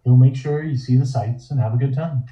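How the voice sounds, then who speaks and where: A man playing a shrewd, smooth, quietly commanding 1920s film studio boss in a comic table read. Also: medium pitch, a little fast, natural voice. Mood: confident, commanding. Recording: roomy, dull home microphone.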